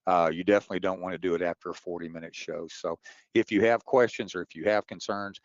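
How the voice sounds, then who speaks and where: Deep-voiced man hosting a webinar, roomy sound. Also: fairly even intonation, quick pace. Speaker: webinar host and contest official. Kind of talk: informal webinar briefing. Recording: dull, roomy webinar audio.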